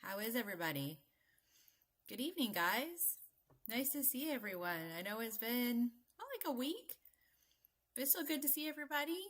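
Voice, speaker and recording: A woman's voice: on the high side, big pitch swings, normal speed, long pauses, a bit bright. A woman hosting a casual live stream, a little stuffy and congested, chatting and greeting viewers by name with frequent ums. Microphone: streaming mic.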